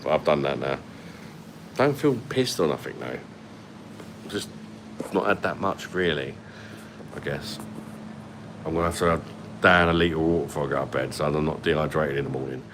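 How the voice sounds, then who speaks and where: A man's voice: a bass voice, animated, moderate pace, pauses often, noisy background. A man in his thirties with a London accent, hosting a casual livestream from a hotel room at night on a phone held at arm's length, blunt and sweary as he reads out comments. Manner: blunt and sweary, laughs often. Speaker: livestream host. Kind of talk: casual live chat reading comments.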